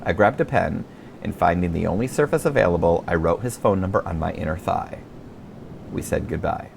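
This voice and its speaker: A man reading his own memoir as a scripted audiobook, telling it in a wry, expressive storyteller's manner. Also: low voice, fairly quick, vocal fry, slight background noise.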